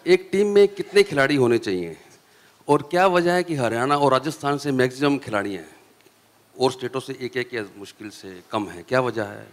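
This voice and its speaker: A man in the press crowd introduces himself and puts a formal question to the team captain. His voice is mid-pitched and ordinary. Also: lively intonation, moderate pace. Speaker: reporter asking a question.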